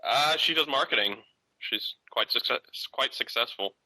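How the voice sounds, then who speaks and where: A man's voice: mid-range voice, unhurried, sharp tone, dry recording, rough recording. A man in his thirties calling in to a talk show, speaking hesitantly with frequent fillers. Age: 30s. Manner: hesitant, many fillers and restarts. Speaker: caller. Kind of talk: casual phone-in conversation.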